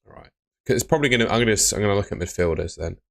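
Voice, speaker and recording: Young male streamer, low voice, relaxed and chatty, reading viewer comments. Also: racing, gravelly. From Bristol, England. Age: young adult. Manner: relaxed, chatty, answers chat questions. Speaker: fantasy football streamer. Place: small room indoors.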